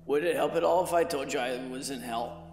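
An actor playing a young, apologetic, awkward slacker, hesitant and very colloquial. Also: male voice, mid-range voice, brisk, darkish tone, a little hiss or hum, close to an everyday voice. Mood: sheepish. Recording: echoey long reverb, music behind.

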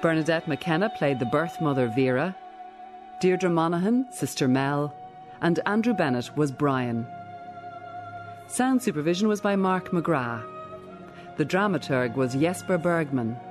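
A man's voice: a little high, lively intonation, measured pace. A man reading scripted closing credits as an announcer. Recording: music under the voice.

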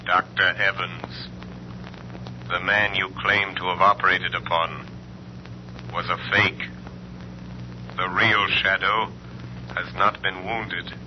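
An actor playing a mysterious, menacing crime fighter, speaking deliberately and sardonically in 1930s radio drama style. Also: male voice, deep voice, little pitch movement, a little hiss or hum. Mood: knowing, sardonic. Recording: muffled, humming old radio recording.

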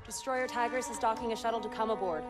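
An actress playing an alert, loyal fleet officer, making crisp announcements in a military video game. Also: female voice, fairly high pitch, fast talker, over music, natural voice. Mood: alert, formal. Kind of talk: cinematic military game acting.

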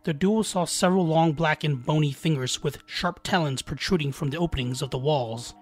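A man's voice: fairly high pitch, fairly quick. A man narrating a scripted scary folktale as a storyteller, with dramatic, suspenseful delivery. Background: background music.

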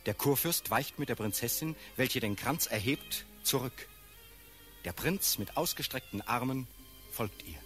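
A man narrating a classical radio drama's stage directions in a sober, measured, scripted way. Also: medium pitch, animated, a bit bright, faint music behind. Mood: neutral.

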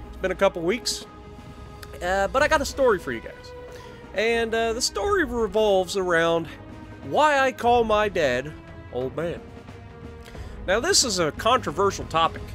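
Animated high-pitched American man telling stories. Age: 30s. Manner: animated, jokey, exclamatory. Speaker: gaming video creator. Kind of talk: casual storytelling over gameplay.

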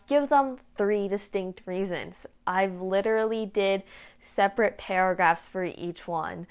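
A teenage female vlogger talks informally to her peers in a mid-pitched voice. She is frank and conversational, explaining tips one by one like an older student helping younger ones. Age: late teens, high school student. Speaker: vlogger giving application tips. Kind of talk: casual advice talk to camera. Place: small indoor room at home. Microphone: clip-on lapel mic.

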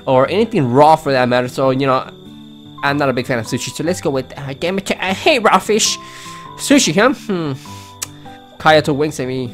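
A man's voice: on the high side, expressive, normal speed. A man hosting a let's play, reading game text aloud with casual commentary. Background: game music.

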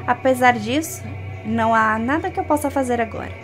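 A woman's voice: on the high side, brisk. A young adult woman with a Brazilian accent giving casual, playful commentary as she streams gameplay. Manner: playful, talks to the game character and viewers. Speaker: gaming streamer. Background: game music.

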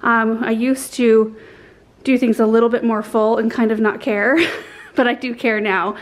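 A woman's voice: fairly high pitch, level tone, unhurried. A woman in her thirties chatting to her handheld camera at home, enthusiastically explaining her garden cuttings and arrangements as she works. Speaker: gardening vlogger. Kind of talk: casual chat to camera. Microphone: handheld camera at arm's length.

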